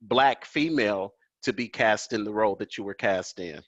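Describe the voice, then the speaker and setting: A man's voice: fairly low pitch, moderate pace, dry recording. A man hosting a home video-call interview, admiring and deferential, often answering "yes, ma'am". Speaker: talk show host. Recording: dull dry video call audio.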